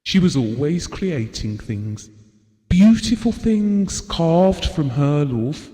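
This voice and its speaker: A devoted husband in an intimate audio drama, his voice fairly high for a man and very mellow. He tells his memories tenderly and reflectively, with a wistful air. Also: sing-song, slow pace, in a large hall. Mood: fond, wistful. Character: devoted, wistful, estranged husband reminiscing about his marriage.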